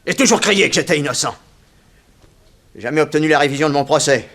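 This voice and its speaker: An actor playing a blunt, wrongly jailed middle-aged convict in a 1960s French radio play. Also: male voice, fairly high pitch, fast talker, fairly bright tone. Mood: indignant. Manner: blunt, defiant, aggrieved.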